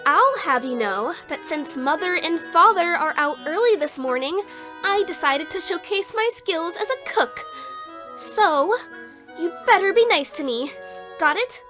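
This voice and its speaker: A woman's voice: very high-pitched, animated, unhurried, music in the background. A woman voicing a playful, bossy, theatrical young sister in an audio drama. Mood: sly, smug. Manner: theatrical, teasing, sing-song exaggeration.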